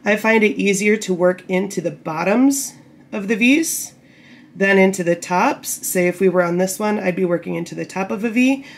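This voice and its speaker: A woman getting over a cold, a bit croaky, patiently explaining knitting steps while working with her hands. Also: medium pitch, moderate pace, a little hiss or hum. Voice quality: slightly gravelly. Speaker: knitting tutorial host. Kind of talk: casual step-by-step instruction. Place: indoor table, camera over hands.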